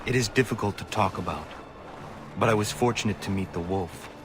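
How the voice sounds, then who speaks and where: An actor as a low, stoic young warrior. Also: male voice, brisk, over music, lightly acted. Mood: calm, serious. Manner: formal, restrained, few contractions. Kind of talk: video game cutscene acting.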